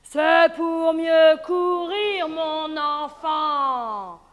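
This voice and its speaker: A woman voicing the big bad male wolf who poses as the grandmother, speaking slowly and loudly, with long hall reverb. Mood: booming. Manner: slow, loud, booming.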